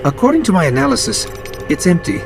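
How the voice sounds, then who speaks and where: Animated, high-pitched little robot companion. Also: male voice, fast talker, gravelly, exaggerated voice. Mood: focused. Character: small floating robot companion, earnest, wry, quick-witted. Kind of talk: video game voice acting. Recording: loud game music behind voice.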